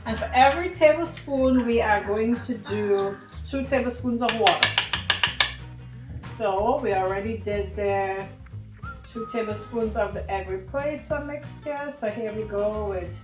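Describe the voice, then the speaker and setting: A woman's voice: mid-range voice, a little slow, echoey room, music in the background. Chatty woman from Trinidad and Tobago. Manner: warm, chatty, addresses viewers as friends. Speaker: cooking show host. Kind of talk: home cooking demonstration.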